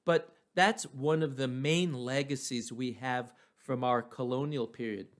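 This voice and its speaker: A man's voice: mid-range voice, steady tone, a little slow. A man from New Mexico, giving an informal, explanatory history lecture. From New Mexico, United States.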